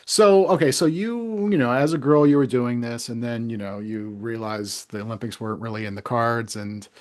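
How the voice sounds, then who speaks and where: A man hosting a casual interview, asking rambling questions in halting phrases with repeated words. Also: medium pitch. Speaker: interview host.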